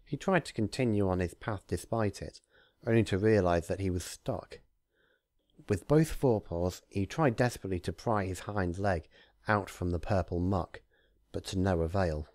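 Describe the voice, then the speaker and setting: A man's voice: low-pitched, normal speed, darkish tone, dry recording, clean studio sound. A man working as an amateur narrator, reading a fan story and chatting informally about it.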